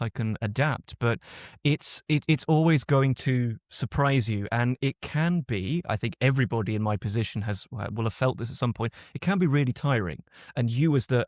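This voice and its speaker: A man's voice: mid-range voice, a little mellow, no echo, clean studio sound. A British man, a guest author and linguist, giving thoughtful answers in a podcast interview and often restarting his sentences.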